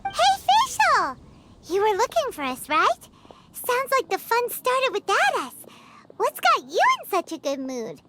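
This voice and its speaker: An actress voicing a tiny, naive, chatty fairy companion with a childlike manner in an anime game. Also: female voice, extremely high pitch, huge pitch swings, a little slow, fairly bright tone, exaggerated voice. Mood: excited. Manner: childlike, speaks of self in third person.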